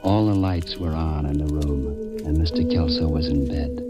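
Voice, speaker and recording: An actor playing a tough, wisecracking police detective in an old radio crime drama, with a clipped, sardonic delivery. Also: male voice, a bass voice, moderate pace. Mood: weary narrating. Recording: old radio recording with music.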